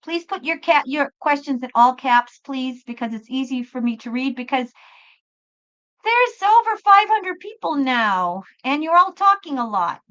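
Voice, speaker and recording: Female tarot host, high voice, chatty and emphatic, repeats herself; muffled live-stream sound. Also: lively intonation, measured pace, fairly bright tone. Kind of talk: live spiritual tarot reading, casual chat with viewers. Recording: dull lo-fi live stream audio.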